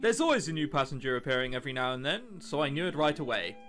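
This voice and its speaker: A man's voice: a little high, sing-song, fast, fairly bright tone, pitched below the performer's own voice. A man voicing a friendly, reassuring female shadow ghost in a visual novel, with music behind. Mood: gently reassuring. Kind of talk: visual novel dialogue reading.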